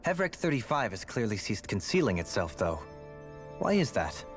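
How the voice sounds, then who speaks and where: A curt, skeptical warrior. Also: male voice, on the low side, big pitch swings, moderate pace. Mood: stern. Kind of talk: video game voice acting. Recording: game audio with faint music.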